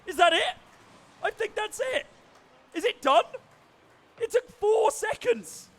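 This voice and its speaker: This young caster has a high, hoarse voice and yells with excitement at every big play. He talks on a handheld mic at a live event and keeps losing his voice. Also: slow pace. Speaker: esports caster. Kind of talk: excited esports commentary.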